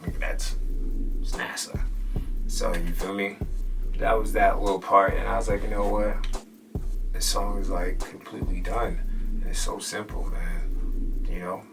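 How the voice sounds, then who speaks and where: A man's voice: lowish voice, creaky, recorded from a distance. A young man casually telling how he made his own rap track, loose and profane, acting out what he said at the time and sometimes dropping to a whisper. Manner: loose and profane, acts out his own past remarks, drops to a whisper at times. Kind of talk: casual storytelling. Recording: voice from off-mic with music playing behind.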